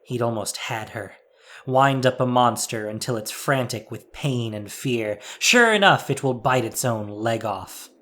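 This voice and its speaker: A man's voice: medium pitch, unhurried, bright, forceful tone. A man narrating a scripted audio drama in a dramatic storytelling style.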